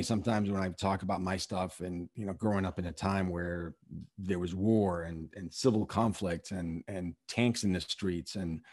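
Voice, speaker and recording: A man from Syria, a podcast host, answering in a halting, reflective way, with many fillers and restarts. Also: sits low, darkish tone. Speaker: podcast host and real estate investor. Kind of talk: conversational interview.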